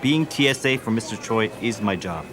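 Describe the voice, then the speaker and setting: An actress playing a dutiful, unbending bodyguard with a formal, deadpan manner in an audio drama. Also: female voice, a bass voice, normal speed, a crowd in the background. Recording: music behind the voice.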